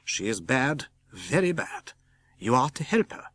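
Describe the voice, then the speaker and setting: A man voicing a grave, kindly foreign professor, speaking with deliberate emphasis and quaint non-native phrasing in an audiobook. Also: mid-range voice, sing-song, a bit bright. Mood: grave, urgent.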